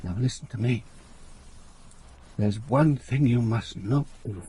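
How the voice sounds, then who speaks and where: An actor playing a blunt, world-weary police inspector in a radio drama, terse and commanding, both in dialogue and first-person narration. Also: male voice, medium pitch, slow, dark tone, husky. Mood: stern, urgent. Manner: terse, commanding, hard-boiled.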